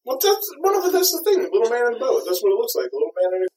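A man's voice: extremely high pitch, big pitch swings, racing, a little mellow. A man ranting casually and comedically in a crude, emphatic way, swearing freely. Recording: roomy, distant mic, faint background noise.

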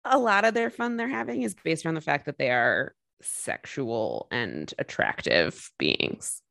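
A woman's voice: low voice, sing-song, a little slow, creaky, a bit bright, no echo, clean studio sound. A woman co-hosting a podcast, chatting casually and jokingly about a film, with lots of fillers. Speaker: podcast co-host.

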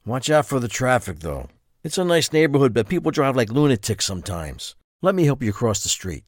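A man's voice: medium pitch, very animated, brisk, no echo, natural voice. A man playing an affable, dry husband in his late fifties in an audio drama. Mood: friendly, helpful.

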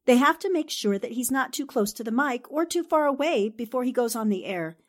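A woman's voice: a little high, expressive, brisk. A woman playing a self-assured, teasing newspaperwoman with little patience in a 1940s-style radio drama. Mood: teasing, exasperated. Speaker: actor in an amateur radio drama re-creation.

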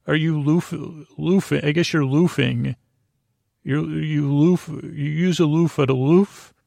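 A man hosting a podcast alone, rambling through whimsical banter in a halting, stammering, meandering way with a gravelly voice. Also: fairly high pitch, steady tone, a little mellow, no echo, clean studio sound. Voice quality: gravelly, creaky. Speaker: podcast host. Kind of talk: rambling solo banter monologue.

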